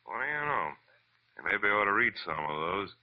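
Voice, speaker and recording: An actor playing a friendly, plain-spoken police lieutenant in 1940s radio style, casual and matter-of-fact. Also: male voice, on the low side, very animated, normal speed. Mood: friendly, amused. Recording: thin, phone-like vintage radio recording.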